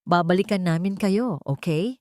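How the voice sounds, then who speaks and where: A woman's voice: fairly low pitch, normal speed, darkish tone, no echo, studio quality, lightly acted. A woman narrator voicing a determined, protective father with a firm, reassuring manner in an audiobook drama.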